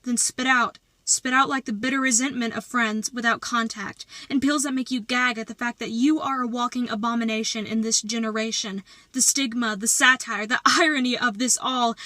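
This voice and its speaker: A woman performing slam poetry as a poet, with dramatic, deliberate delivery and emphatic phrasing. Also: fairly high pitch, steady tone, bright, forceful tone, dry recording.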